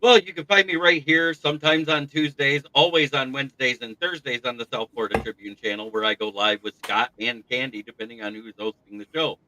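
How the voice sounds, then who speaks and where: A man's voice: mid-range voice, a little fast, hardly any pauses, sharp tone, a little hiss or hum, low-quality recording. A man co-hosting a sports podcast who writes about football, talking eagerly. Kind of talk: casual sports talk.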